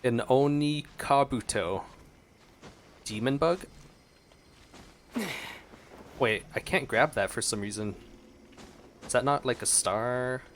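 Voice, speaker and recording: A man's voice: medium pitch, slow pace, long pauses, a little hiss or hum. A man streaming a game with casual reaction commentary, musing aloud and exclaiming. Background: faint game music.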